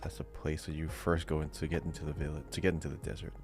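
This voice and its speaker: A man's voice: very deep voice, level tone, fast, over music. A man on a podcast quiz, casually thinking aloud in a hesitant, musing way.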